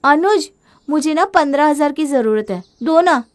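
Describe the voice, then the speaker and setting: A woman's voice: sits high, animated, normal speed, voice pushed up. A woman voicing a young wife who spends too much, talking in a whiny, wheedling way in a storybook drama. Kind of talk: storybook voice acting.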